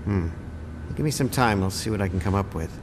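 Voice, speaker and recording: Gentle scientist, low-pitched, mild-mannered, video game cutscene, noisy background. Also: male voice, lively intonation, brisk. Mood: grateful, thoughtful. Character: kindly, regretful male scientist doctor, mild and grateful.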